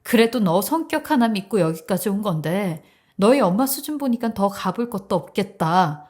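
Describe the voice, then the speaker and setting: A woman voicing a young bride, sharp and confrontational, outraged and protective of her mother in a dramatized story. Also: mid-range voice, expressive, moderate pace, lightly acted. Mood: scornful.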